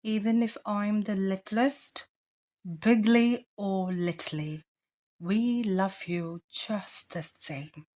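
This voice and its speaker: A woman voicing a loving father bear, gentle and reassuring, in a children's storybook read-aloud. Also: medium pitch, drawn-out words. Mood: tender.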